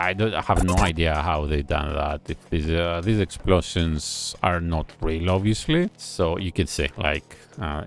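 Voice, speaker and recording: Male reviewer, very low gravelly voice, analytical chat, soft music behind. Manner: analytical, admiring, thinks aloud with pauses. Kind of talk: casual reaction commentary. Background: faint music video playing.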